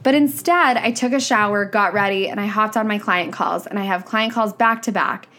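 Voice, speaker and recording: A woman's voice: medium pitch, moderate pace, a bit bright. A young woman, a business coach, talking casually on her podcast, her voice nasal and stuffy from a cold, frank and chatty. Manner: chatty, frank, says "like" and "right?" often.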